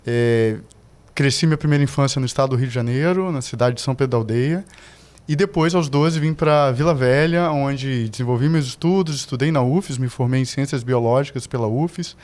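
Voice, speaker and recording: A middle-aged man from Salvador, Brazil, a podcast guest giving measured, explanatory answers about environmental management. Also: medium pitch, darkish tone. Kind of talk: formal interview answers.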